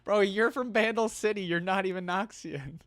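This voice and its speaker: A man's voice: sits high, normal speed, a bit bright. A man giving informal, casual fan commentary as a reaction video host. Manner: informal, peppered with "like" and "you know".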